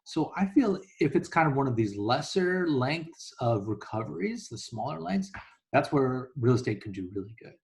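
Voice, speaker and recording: A man with a mid-pitched voice talks as a guest investor in an interview. He explains things in a casual, conversational way, often voicing imagined thoughts to illustrate his points. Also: sing-song, normal speed, gravelly, darkish tone.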